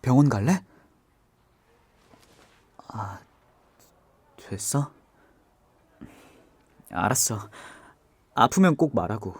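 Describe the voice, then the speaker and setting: A young man voicing a gentle, devoted boyfriend in an ASMR audio drama, speaking softly and tenderly. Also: on the low side, sing-song, drawn-out words, long pauses, breathy edge, full character voice. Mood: gentle, caring. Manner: soft-spoken, hushed and tender. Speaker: voice actor.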